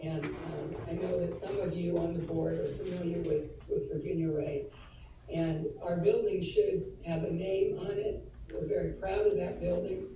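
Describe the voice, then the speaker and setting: A woman, slightly hoarse from allergies, giving an earnest tribute at a virtual school board meeting, with frequent fillers and restarts. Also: lowish voice, fairly even intonation, moderate pace, dark tone, a little hiss or hum. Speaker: adult education administrator presenting to school board. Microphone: video call. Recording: echoey room, distant from the mic, faint ambience.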